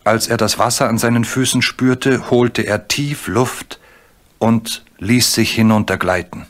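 A man's voice: mid-range voice, steady tone, unhurried. A man narrating a children's radio play in German as a scripted storyteller. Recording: faintly noisy, cricket chirps behind.

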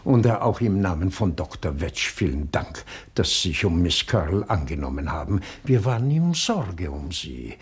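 A kindly, deferential old servant in a 1960s German radio crime serial. His very low voice is thickly husky and moves in lively swoops. He speaks with grave politeness. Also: moderate pace, hardly any pauses, exaggerated voice. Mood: polite, grave.

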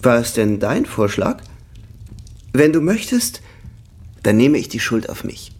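Lively, husky young bohemian. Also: male voice, mid-range voice, unhurried. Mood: light, reassuring. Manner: buoyant, teasing, enthusiastic. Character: cheerful, carefree young bohemian artist, almost thirty. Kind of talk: German radio drama acting.

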